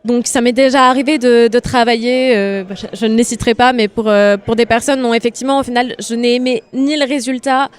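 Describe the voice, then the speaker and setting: A woman's voice: fairly high pitch, little pitch movement, a little fast, few pauses, clear, resonant tone. A woman working as an animator, answering interview questions with many hesitations and fillers. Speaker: animator guest.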